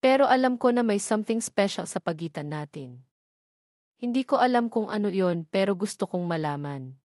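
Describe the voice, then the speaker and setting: A woman's voice: mid-range voice, lively intonation, normal speed, a little mellow, no echo, studio quality, lightly acted. Woman acting a blunt young college man. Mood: gentle. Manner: retorting, challenging. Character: blunt, defensive young male college student.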